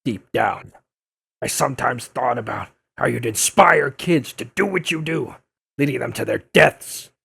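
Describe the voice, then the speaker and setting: A man playing a bitter, blustering old newspaperman turned blogger in an audio drama, with a gravelly, raspy voice and an accusatory, declaiming manner. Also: medium pitch, fairly bright tone, heavily acted. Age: older. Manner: accusatory, indignant, theatrical declaiming.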